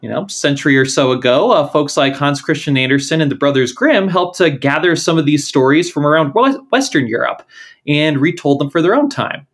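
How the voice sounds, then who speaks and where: A man's voice: a little high, expressive, brisk, a bit bright. A man hosting an interview, reading a scripted intro and then chatting with his guest. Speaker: podcast host.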